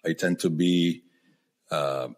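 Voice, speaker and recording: A man's voice: low-pitched, fairly even intonation, takes it slowly. A man hosting a podcast interview whose first language is Spanish, thinking aloud and often repeating words as he searches for them. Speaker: podcast host. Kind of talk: conversational interview.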